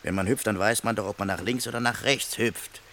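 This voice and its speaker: An actor playing a gruff, sarcastic vagabond who grumbles in colloquial speech in a radio play. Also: male voice, fairly low pitch, brisk, sharp tone, a little hiss or hum. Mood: matter-of-fact, arguing. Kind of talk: German radio play acting.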